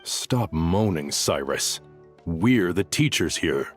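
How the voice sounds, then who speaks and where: An actor playing a stern, decisive senior teacher in a video game, brisk and commanding. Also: male voice, deep voice, lively intonation, faint music behind, full character voice. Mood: chiding.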